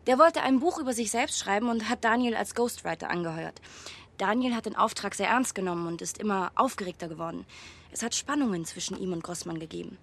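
An actress playing a grieving, agitated woman in a radio drama, with a husky voice. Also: medium pitch, fast, a bit bright. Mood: sorrowful.

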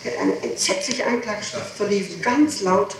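A woman's voice: medium pitch, animated, normal speed. Woman protesting on a very echoey old tape. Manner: emphatic, repetitive protesting. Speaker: suspect under interrogation. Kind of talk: answering police questioning. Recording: noisy, echoey, distant 1960s tape recording.

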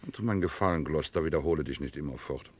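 Pompous, very deep-voiced philosophizer. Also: male voice, racing, darkish tone, husky. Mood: lofty, indignant. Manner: pompous, florid, declamatory. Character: pompous philosophizing man named Henry, lofty and bossy. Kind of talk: 1960s German radio crime play acting.

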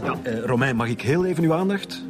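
A man as a pompous Flemish police director in a radio drama. He talks quickly and fussily, full of self-importance, with clear music behind him. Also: medium pitch, animated, close to an everyday voice. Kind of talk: naturalistic radio drama acting.

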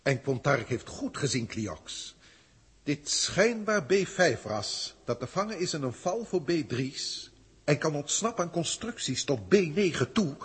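An actor in a 1960s radio drama playing an old, emotional alien proctor, speaking theatrically in formal, archaic phrases with a husky voice. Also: male voice, a little high, exaggerated voice. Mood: musing, impressed. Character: old emotional alien senior proctor, male teacher, wry. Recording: reverberant 1960s radio studio recording.

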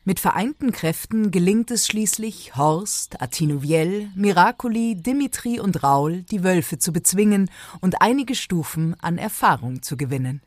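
A woman's voice: fairly low pitch, expressive, normal speed, a little hiss or hum. A woman narrating a parody audio drama in a playful, teasing way.

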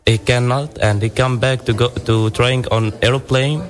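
A young man from Syria, a guest in an interview, speaking halting English as he searches for words. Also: mid-range voice, steady tone. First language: Arabic. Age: young adult. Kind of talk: casual interview.

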